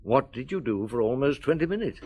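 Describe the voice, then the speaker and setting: A middle-aged actor playing a patient police chief in a radio drama, questioning a witness in a calm, coaxing way. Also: male voice, medium pitch, fairly quick, breathy edge.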